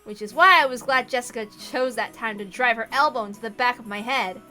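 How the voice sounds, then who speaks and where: A woman's voice: on the high side, fairly quick, sharp tone, faint music behind. A woman reading a visual novel aloud expressively, with asides to her audience.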